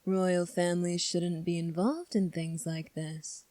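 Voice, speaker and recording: A woman playing a calm, sly young prince in an ASMR roleplay, speaking in a measured, formal, teasing way. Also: fairly low pitch, no echo, close to an everyday voice. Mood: coolly probing. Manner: measured, formal, coolly probing. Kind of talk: ASMR roleplay audio drama.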